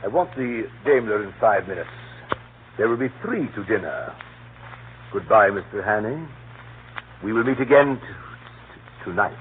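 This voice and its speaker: An actor playing a menacing, coldly courteous older spy ringleader in a measured, formal 1930s radio drama style. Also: male voice, fairly low pitch, animated. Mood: curt, sinister. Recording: scratchy, muffled 1930s radio broadcast.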